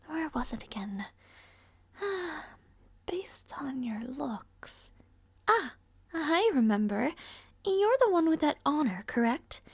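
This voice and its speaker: Woman playing young princess, audio roleplay, arrogant, weary, formal, high-pitched, close dry recording. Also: darkish tone, dry recording, exaggerated voice. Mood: curious, musing. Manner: haughty, languid, sighing, formal wording. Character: rude, arrogant, somewhat shy young princess, haughty and weary. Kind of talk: scripted audio roleplay acting, addressing the listener.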